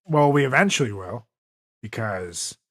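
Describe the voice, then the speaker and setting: A man's voice: mid-range voice, expressive, a little slow, a bit bright. A man hosting a podcast in casual, joking chat, rambling loosely and swearing freely. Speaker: podcast host.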